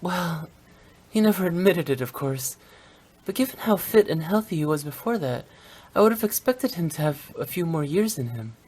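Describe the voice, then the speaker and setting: A woman's voice: lowish voice, animated, normal speed, darkish tone, breathy edge. A woman playing a young female funeral director in a video game, playful yet blunt and unsentimental, speaking in a frank, matter-of-fact way. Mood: somber, reflective. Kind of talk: scripted English video game voice acting.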